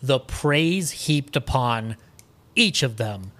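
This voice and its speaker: Guy on a podcast, animated n opinionated, casual chat, drops swears, mid pitched voice. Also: takes it slowly. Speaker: podcast guest. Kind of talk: casual film discussion.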